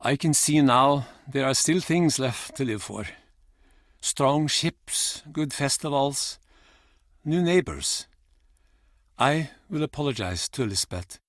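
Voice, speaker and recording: A man's voice: medium pitch, lively intonation, no room sound, clean studio sound. An actor playing an embittered, grieving old fisherman in a video game, blunt and theatrical in manner. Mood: sorrowful, remorseful. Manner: theatrical, blunt, halting old-salt turns of phrase. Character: gruff, embittered, grieving old Icelandic fisherman and pub regular. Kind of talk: video game character acting.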